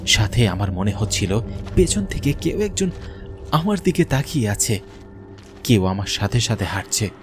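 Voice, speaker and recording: A man's voice: on the low side, very animated, normal speed, long pauses, soft background music. A man giving a scripted first-person narration of a horror story, as a government officer looking back. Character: haunted government officer recounting his mine visit.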